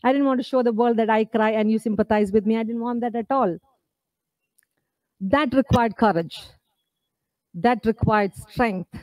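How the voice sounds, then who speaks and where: A woman's voice: fairly high pitch, moderate pace, long pauses, darkish tone, no room sound, rough recording. A woman in her forties from India gives an earnest, emphatic speech at a podium microphone on an indoor stage, asking the audience rhetorical questions. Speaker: author at her book launch.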